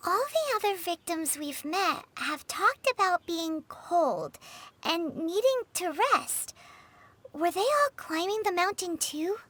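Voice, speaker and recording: A woman's voice: very high-pitched, expressive, slow pace, pushed and bright, no echo, exaggerated voice. A woman voicing a small, childlike, eager fairy companion in a video game. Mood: earnest. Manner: refers to self by name, exclaiming.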